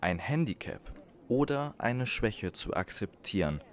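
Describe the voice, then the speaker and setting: A man reading a scripted documentary narration in a measured, deliberate voice-over. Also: mid-range voice, sing-song, slight background noise.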